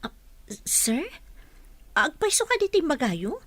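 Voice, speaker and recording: A woman's voice: sits high, very animated, unhurried, no echo. A woman with a Filipino accent playing a polite, flustered young provincial employee, hesitant and deferential, in an Ilocano radio drama. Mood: flustered, surprised.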